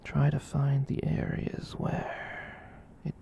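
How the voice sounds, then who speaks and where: A performer voicing a gentle giant catboy in a whispered ASMR roleplay, soothing and rambling, with hesitant pauses. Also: mid-range voice, monotone, highs cut off. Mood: gentle, reassuring. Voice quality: breathy whisper. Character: gentle giant catboy, soothing, reassuring, shyly self-conscious.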